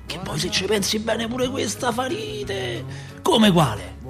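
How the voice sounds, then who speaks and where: An actor playing a talkative middle-aged working-class Roman father, a doorkeeper, in an expressive, rambling radio-play monologue with a Roman accent and a husky voice. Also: very high voice, unhurried, music in the background, full character voice. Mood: affable. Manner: expressive, rambling, repeats himself and asks rhetorical questions. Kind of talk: theatrical radio monologue, colloquial storytelling.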